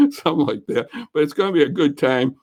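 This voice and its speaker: A man's voice: on the high side, very animated, fairly quick. A man hosting a casual local interview show over a video call, talking in a folksy, chatty way. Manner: folksy, chatty, warm thanks. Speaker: host.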